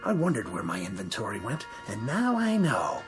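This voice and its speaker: An actor playing a pompous, accusatory store owner with haughty, sarcastic, ornate phrasing in radio drama style. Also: male voice, fairly low pitch, huge pitch swings, normal speed, soft background music. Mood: indignant, scornful.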